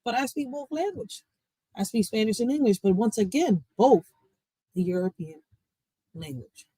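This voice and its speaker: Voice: mid-range voice, animated, moderate pace, mellow, breathy edge, no room sound, poor audio. American vlogger, preachy, urging viewers, dry close mic. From United States. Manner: preachy, urging the audience directly. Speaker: vlogger commenting on a clip. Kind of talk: casual commentary to viewers.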